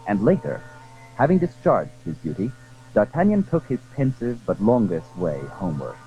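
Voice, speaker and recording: A man narrating a period adventure story in a measured, literary, dramatic style. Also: fairly low pitch, sing-song, lo-fi sound, soft background music.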